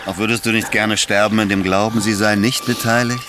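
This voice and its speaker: An actor playing a coolly cruel Soviet villain in a radio drama, taunting with mock politeness. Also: male voice, lowish voice, fairly even intonation, brisk, noisy background, lightly acted. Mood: mocking menace. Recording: music behind the voice. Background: music.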